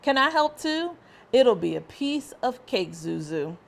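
A woman voicing an affectionate, reassuring father in a children's storybook, with expressive, encouraging delivery. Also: fairly high pitch, measured pace, gravelly, voice pushed up, exaggerated voice. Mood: concerned, playful. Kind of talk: children's storybook read-aloud.

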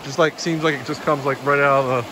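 A man's voice: medium pitch, a little fast, noisy background. A young man casually narrating a hike through a cave, rambling with lots of fillers, filmed on a handheld camera. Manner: relaxed, rambling, filler-heavy commentary. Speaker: hiking vlogger. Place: inside a cave. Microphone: handheld camera held by the speaker.

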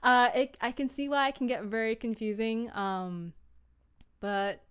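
A woman's voice: on the high side, measured pace, fairly bright tone, clear, resonant tone. A young adult woman chatting casually and a bit ramblingly as she hosts a livestream from a room at home. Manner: chatty, rambling, giggly, apologetic. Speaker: livestream host, audio drama creator. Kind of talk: casual livestream chat with viewers. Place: small room indoors at home.

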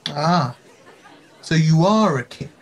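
An actor playing a stern, troubled Roman governor in an audio play, speaking formally and deliberately in a declamatory way. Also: male voice, on the high side, lively intonation, a faint crowd. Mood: probing. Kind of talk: dramatic audio play acting.

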